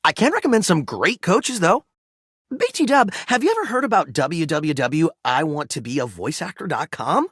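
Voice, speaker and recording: A man's voice: a little high, very animated, normal speed, a bit bright, breathy edge, no room sound, studio quality, full character voice. A man voicing a goofy, good-natured game developer in a video game, with playful, slangy, casual delivery. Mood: self-deprecating. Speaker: actor and game creator character.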